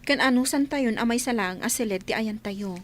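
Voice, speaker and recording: Actress as a high-voiced caring young woman. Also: normal speed, slight background noise, close to an everyday voice. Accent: Ilocano. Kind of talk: Ilocano radio drama acting.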